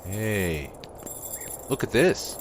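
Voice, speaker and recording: A man's voice: on the low side, sing-song, drawn-out words, background noise, heavily acted. An actor playing a loyal, teasing companion who talks in easygoing banter. Music plays behind his voice. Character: loyal male companion, teasing and warm-hearted. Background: background music.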